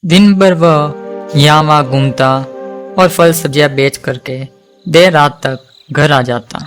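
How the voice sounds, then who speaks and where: A man's voice: a little high, slow, music in the background. A man narrating a scripted cartoon folk tale for children as storyteller.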